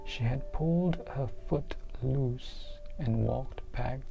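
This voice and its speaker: A mellow, soft-spoken reader delivers a storybook in ASMR style. The pace is very slow and deliberate, with frequent pauses and phrases that fade toward a whisper. Faint music plays behind the voice. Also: mid-range voice, gravelly, rough recording. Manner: soft-spoken, hushed, breaking into near-whispers. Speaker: book reader.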